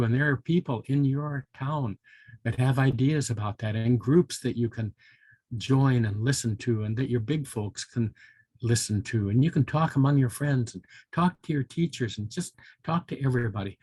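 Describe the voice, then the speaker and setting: An older man with a husky voice, reading a storybook and chatting to young children. He is folksy and musing, and the recording is close and dry. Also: mid-range voice, moderate pace, a little mellow, no room sound. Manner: folksy, musing, with interjections like hmm and well. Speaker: volunteer storybook reader for children.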